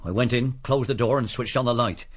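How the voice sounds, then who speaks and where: A grudging little man under suspicion, acted by a man. He speaks bluntly at a middling pitch, guarded and defensive, in the manner of a radio crime play. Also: steady tone, brisk, husky, close to an everyday voice. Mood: sombre, haunted. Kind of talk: British radio detective drama.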